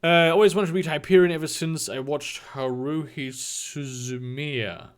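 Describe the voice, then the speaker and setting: Halting German man in his twenties. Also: fairly high pitch, clean tone. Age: 20s. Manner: halting, restarts often, reads donor questions aloud. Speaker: streamer answering chat. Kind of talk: casual livestream Q&A.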